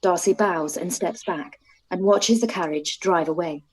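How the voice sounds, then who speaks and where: A woman narrating the stage directions of a radio play in a calm, even reading. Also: on the low side, a little slow, slightly rough, poor audio.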